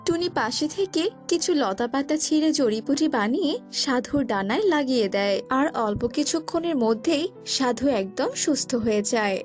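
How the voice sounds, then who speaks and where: A woman's voice: sits high, animated, normal speed, soft background music. A woman reading scripted narration for a children's cartoon story.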